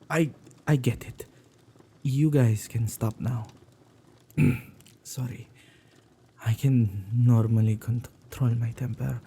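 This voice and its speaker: A man playing a playfully menacing, possessive male witch in an ASMR roleplay, with a dark, gravelly, husky voice that often drops to whispers and a teasing, drawn-out delivery. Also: mid-range voice, exaggerated voice. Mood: sheepish, apologetic. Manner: teasing, unhurried, with drawn-out words and hesitant repeats.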